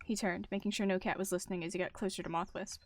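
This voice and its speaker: A woman's voice: medium pitch, fairly even intonation, rapid-fire. A woman narrating a story roleplay in the third person.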